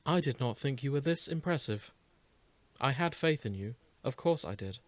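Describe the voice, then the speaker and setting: A man voicing a cold, whimsical female spirit with measured, formal delivery in a story reading. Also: medium pitch, lively intonation, no echo, close to an everyday voice. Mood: cool amusement.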